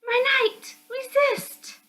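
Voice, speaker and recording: A woman's voice: extremely high pitch, level tone, extremely slow, bright, forceful tone, pitched above the performer's own voice, heavily acted. A woman voicing a devoted, pleading little spirit girl from a visual novel game. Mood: pleading, concerned. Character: sweet, pleading young evil-spirit girl with puppy-eyed devotion.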